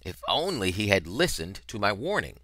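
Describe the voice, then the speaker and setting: A middle-aged man narrating a novel in an expressive, dramatic style, with emphatic, deliberate phrasing on a headset mic. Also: on the low side, no echo. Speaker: reader of a children's novel.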